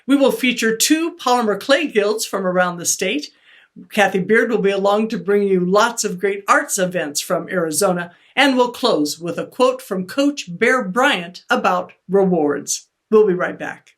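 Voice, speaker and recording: A woman hosting an arts web video series, reading scripted introductions and interviewing a guest in an even, clear delivery. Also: mid-range voice, unhurried. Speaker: host.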